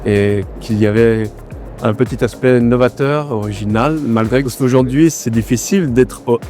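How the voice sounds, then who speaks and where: Artist guy being interviewed, pauses and fillers, soft music in back. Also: medium pitch. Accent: no regional accent. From born in Argentina, raised in France. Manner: thoughtful, hesitant with fillers. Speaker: exhibiting artist, interview guest. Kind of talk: casual interview at an art fair.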